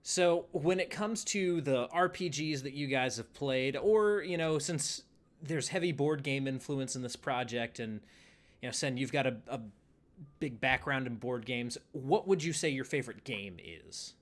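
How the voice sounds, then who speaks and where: A man in his twenties hosting a podcast, asking his guests questions in a relaxed, friendly way. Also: mid-range voice, unhurried. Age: 20s. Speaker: podcast host. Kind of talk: casual interview chat.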